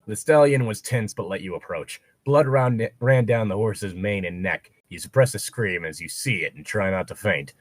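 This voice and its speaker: A man's voice: fairly low pitch, moderate pace, hardly any pauses, dry recording. A man co-hosting a casual fan fiction read-along, riffing with wry asides as he reads. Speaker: co-host of a fan fiction reading show.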